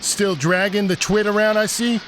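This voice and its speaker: A man's voice: high-pitched, fairly even intonation, moderate pace, noisy background, lightly acted. A man playing a mocking, streetwise shoe shiner in a 1940s noir audio drama. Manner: mocking, slangy. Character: sneering streetwise male shoe shiner informant.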